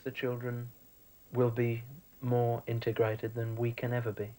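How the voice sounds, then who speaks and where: A man from England talking in a reflective way, with his voice held very level and even. His pitch sits in the middle range for a man. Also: a little slow, poor audio. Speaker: art teacher. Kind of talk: documentary interview.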